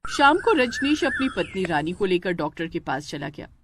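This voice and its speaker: A woman's voice: lowish voice, very animated, moderate pace, background noise. A woman reading scripted narration for a family drama story.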